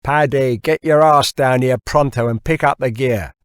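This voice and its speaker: Audiobook role: burly bossy diver, gruff and throaty, blunt banter, very dry sound man narrator acts it. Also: mid-range voice, normal speed, dry recording, pitched above the performer's own voice, natural voice. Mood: urgent shouting. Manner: blunt, sweary, shouting orders.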